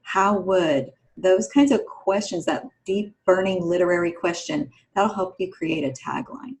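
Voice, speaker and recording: A woman's voice: lowish voice, a little slow, darkish tone. A woman co-hosting a podcast, offering writing advice in a conversational style. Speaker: podcast co-host.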